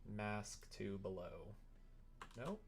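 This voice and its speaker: Slow-paced streamer thinking aloud, gender unclear. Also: sits low, expressive. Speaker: live streamer. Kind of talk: casual livestream chat while working, thinking aloud.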